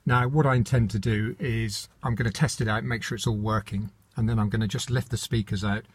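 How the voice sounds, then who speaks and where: A British man with a fairly low voice talks through a practical DIY job. He is informal and matter-of-fact, feeling for his words as he goes. The sound is fairly dry, with little room echo. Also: fairly even intonation, a little fast. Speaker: DIY how-to presenter. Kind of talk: informal hands-on tutorial.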